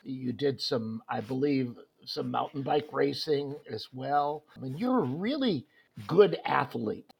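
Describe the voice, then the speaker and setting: A man's voice: mid-range voice, expressive, a little slow, creaky, darkish tone, husky. A man hosting a sports interview, talking in a rambling way with many fillers and restarts. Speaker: host.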